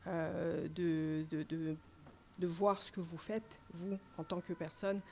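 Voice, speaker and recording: A woman's voice: on the low side, fairly even intonation, darkish tone, slight background noise. Hesitant woman journalist asking a question. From Congo. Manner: hesitant, many pauses and fillers, polite. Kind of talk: asking a question at a press conference. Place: press conference room. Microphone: standing microphone.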